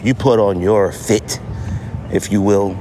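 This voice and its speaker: A man's voice: fairly low pitch, steady tone, slow, over music. An American man hosting a podcast in a casual solo monologue, repeating phrases for emphasis. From United States. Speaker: podcast host. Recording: noisy outdoor city street ambience. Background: street noise, traffic, banging.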